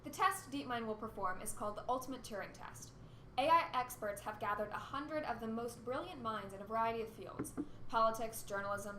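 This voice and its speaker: Woman acting a cynical radio co-host, high-pitched voice, irritable, sardonic, echoey room, amateur radio drama. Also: sharp tone. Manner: exasperated, sardonic. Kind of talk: amateur radio play, broadcast narration. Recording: roomy echo, faint background noise.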